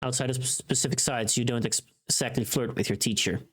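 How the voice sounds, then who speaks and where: A woman's voice: rumbling low, fairly even intonation, quick pace, husky. A woman livestreamer chatting casually in a playful, teasing, informal way, often thinking aloud and trailing off.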